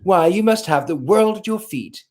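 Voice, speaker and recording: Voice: medium pitch, expressive, moderate pace, a little mellow, pitched above the performer's own voice, lightly acted. A reader voicing a chatty, frank young American society woman in a novel read aloud, with breezy, naive chatter. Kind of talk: audiobook character voice.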